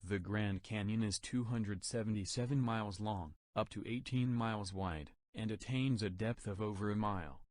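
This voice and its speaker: A man's voice: fairly low pitch, moderate pace, few pauses, a little mellow, dry recording. A man reading scripted exam practice passages aloud as a model reader.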